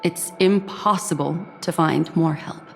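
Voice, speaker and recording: Grave game mystic; lowish, slow, poised and sceptical. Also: female voice, creaky. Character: composed, enigmatic, sceptical mystic woman. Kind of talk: video game voice acting. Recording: long reverb, music underneath.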